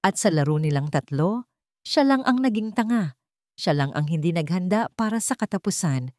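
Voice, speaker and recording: A woman reads a scripted dramatic story in a measured, dramatic way. Her voice sits a little low. The recording is dry and close, with no room sound. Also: lively intonation, darkish tone, no room sound.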